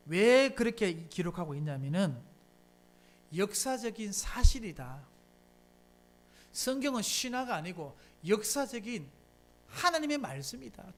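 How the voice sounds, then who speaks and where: A pastor delivers a Sunday sermon in a church. The pitch rises and falls a great deal, and the delivery keeps throwing rhetorical questions at the congregation and answering them. The tone stays conversational and emphatic throughout. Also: a bit bright. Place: church sanctuary.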